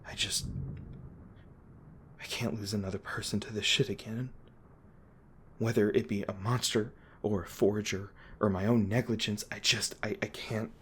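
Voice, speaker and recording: Man acting a low-voiced, guilt-ridden monster hunter. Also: steady tone, a bit bright, lightly acted. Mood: anguished. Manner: halting, stammering, emotional, swearing. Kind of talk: intimate dramatic audio roleplay addressed to listener. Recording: noticeable background noise and ambience.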